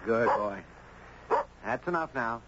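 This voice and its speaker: A man's voice: mid-range voice, lively intonation, slow. An American actor playing a friendly, easygoing man with his dog in a 1970s radio drama, in a casual, conversational way. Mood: playful, easygoing. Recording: dull, noisy old radio recording.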